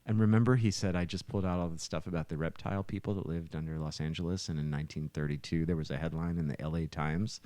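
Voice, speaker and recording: A man in his fifties telling stories casually on a podcast, halting and emphatic as he goes. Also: low-pitched, fairly even intonation, warm tone, no room sound. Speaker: musician and podcast co-host. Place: small home living room.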